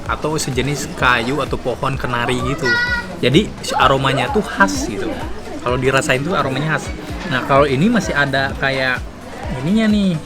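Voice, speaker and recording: A man's voice: medium pitch, moderate pace, over a noisy crowd. A young man from Indonesia hosting a travel show, tasting food and chatting casually with lots of laughter. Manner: chatty, laughs often, relaxed slangy talk. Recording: loud music under the voice.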